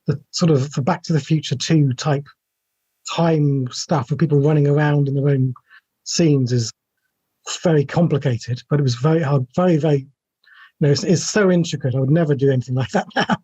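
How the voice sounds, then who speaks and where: A middle-aged man, a writer, talking reflectively and haltingly in an interview over a video call. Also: fairly high pitch, warm tone, slightly rough, dry recording. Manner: halting, thinking aloud. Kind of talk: casual, reflective interview talk. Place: small home room with shelves, video call. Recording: dull webcam call audio.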